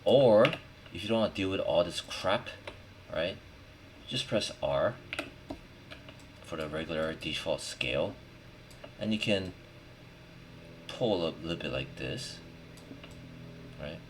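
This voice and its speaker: A man giving a casual software tutorial, thinking aloud informally as he works and often checking in with the listener. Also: low voice, lively intonation, slow pace, pauses often. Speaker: 3D modelling tutorial instructor. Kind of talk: casual screen-recorded software tutorial, talking while working. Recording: clearly noisy, with keyboard and mouse clicks. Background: background noise, typing and clicking.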